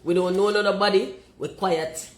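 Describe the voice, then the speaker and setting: Jamaican woman w a deep voice, blunt and emphatic, kinda echoey room. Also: steady tone, fairly quick. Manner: forthright, emphatic, slips into patois. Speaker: commentator.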